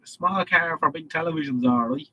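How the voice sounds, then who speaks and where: A man's voice: fairly high pitch, moderate pace, pushed and bright, slightly rough, highs cut off. A man with an Irish accent and a head cold, hosting a casual live stream and reading out viewer comments in a rambling way. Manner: rambling, reads chat messages aloud.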